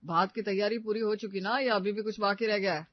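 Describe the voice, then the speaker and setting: A man's voice: high-pitched, fairly even intonation, a little fast, no room sound, highs cut off, natural voice. A man playing a grumbling but indulgent middle-aged husband and father in a moral story. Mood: genial.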